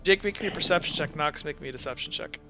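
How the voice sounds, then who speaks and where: A man's voice: a little high, music in the background. A man running a tabletop game as game master, narrating off the cuff and often repeating words as he searches for the next. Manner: halting, repeats words mid-sentence.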